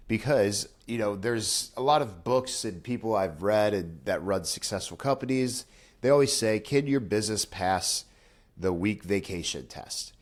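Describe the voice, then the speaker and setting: A young man with a congested, nasal voice, talking casually and candidly to camera about his business, with frequent fillers and restarts. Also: on the low side. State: nasal, congested after nose surgery. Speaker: business owner vlogger. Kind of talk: casual direct-to-camera talk.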